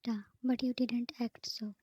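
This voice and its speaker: A woman voicing a grieving, stubborn young man who is protective of the one he loves, in a soft unvoiced whisper. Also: fairly high pitch, little pitch movement, unhurried, slightly rough, no echo, lo-fi sound. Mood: bitter. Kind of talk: whispered story reading.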